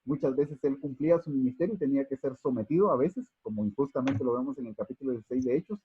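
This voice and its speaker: A man's voice: medium pitch, fast talker, lo-fi sound, slight background noise. A man from Guatemala, teaching an unscripted Bible study class with many fillers and restarts and calling his listeners brothers.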